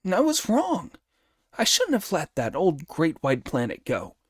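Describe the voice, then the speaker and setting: A man voicing a brash, boastful, excitable monkey hero in a storybook reading, cocky and exclamatory. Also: on the high side, unhurried, slightly rough, no echo, clean studio sound, voice pushed up, heavily acted. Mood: puzzled, exclamatory. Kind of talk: storybook character dialogue.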